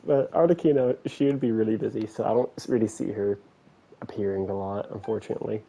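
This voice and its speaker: A man's voice: fairly low pitch, quick pace, gravelly, dark tone. A man doing casual game commentary as a streamer, musing aloud in a dry, hesitant way. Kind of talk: casual playthrough commentary, reading some lines aloud. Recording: game music behind.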